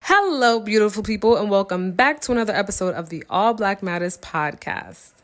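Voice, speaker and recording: A woman hosting a podcast, talking in a bubbly, slangy, excitable way as she chats casually with a guest. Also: medium pitch, brisk. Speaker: podcast host. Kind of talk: casual interview chat.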